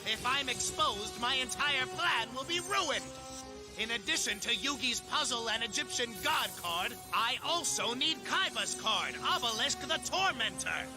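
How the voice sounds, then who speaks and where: A man's voice: extremely high pitch, animated, unhurried, low-quality recording. An actor voicing a panicky, scheming young male villain who talks in a frantic, plotting way. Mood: alarmed. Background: dub soundtrack music.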